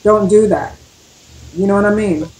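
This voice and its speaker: A woman's voice: medium pitch, normal speed, darkish tone, echoey room, rough recording. A woman giving emphatic, step-by-step advice on a radio talk show, repeating her key points. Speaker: panelist giving legal advice.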